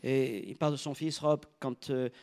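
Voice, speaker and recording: A man interpreting a sermon live, phrase by phrase, in a halting way, rephrasing as he goes. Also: medium pitch, fairly even intonation, darkish tone.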